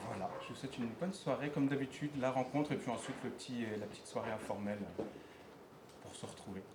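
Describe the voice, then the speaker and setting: A man opening an event with informal welcoming remarks and many fillers. His voice sounds roomy, with clear noise behind it. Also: medium pitch, fast talker. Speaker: event organizer.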